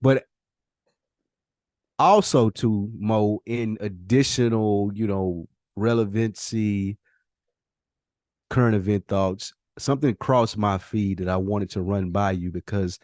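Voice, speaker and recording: A man with a low-pitched voice talks as a podcast host. The sound is dry, with no room around it. He chats casually in slang and repeats his phrases to stress a point. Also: steady tone, slow, darkish tone, no echo.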